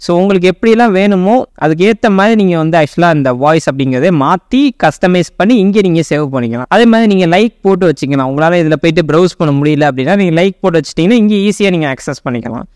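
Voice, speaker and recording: A young man with an Indian Tamil accent explaining software informally as a tech YouTuber, mixing in English words. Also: sits high, animated, quick pace, hardly any pauses, smooth, no room sound, studio quality. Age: young adult. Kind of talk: software tutorial narration.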